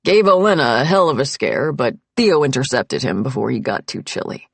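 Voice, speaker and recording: A woman's voice: very deep voice, animated, normal speed, creaky, slightly rough, no echo, voice pushed down, heavily acted. A woman voicing a genial, teasing older father in an audiobook, with a wry and easygoing manner.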